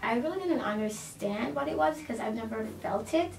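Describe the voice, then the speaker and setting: A woman in her thirties from Jamaica, a guest calmly telling her own story in an interview. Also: a little high, moderate pace, gravelly. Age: 30s. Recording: roomy sound, distant mic, faint noise.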